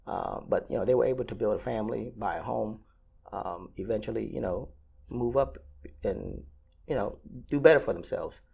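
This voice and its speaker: A man's voice: fairly low pitch, normal speed, gravelly, a little mellow, husky. A Vietnam-born ramp agent in his thirties, answering interview questions casually with many fillers and restarts.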